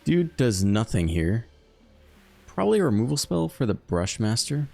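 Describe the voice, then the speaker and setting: A man hosting a card game video, thinking aloud in a relaxed, chatty way as he plays. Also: mid-range voice, normal speed, vocal fry, faint music behind. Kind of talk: casual live gameplay commentary.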